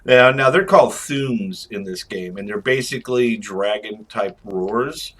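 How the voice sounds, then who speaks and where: A man's voice: on the low side, measured pace, fairly bright tone. A man giving casual, jokey, rambling video game review commentary.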